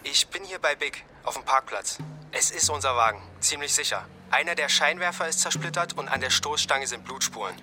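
An actor playing an eager junior police investigator in a naturalistic radio crime drama, brisk, casual and matter-of-fact. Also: male voice, fairly high pitch, animated, bright, forceful tone. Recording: music behind the voice.

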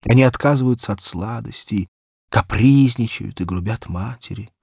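A man's voice: lowish voice, moderate pace, gravelly, a little mellow, breathy edge, no echo, clean studio sound. A man reading a children's story aloud as an audiobook narrator, in a dramatic, theatrical storytelling manner.

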